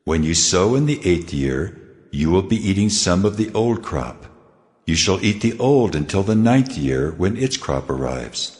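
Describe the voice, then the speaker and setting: Man as deep, reverberant voice of God. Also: very animated, measured pace, a little mellow. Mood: solemn, commanding. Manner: solemn, authoritative, declarative. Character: God, commanding, solemn male divine lawgiver. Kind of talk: dramatized scripture reading. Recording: long cavernous reverb.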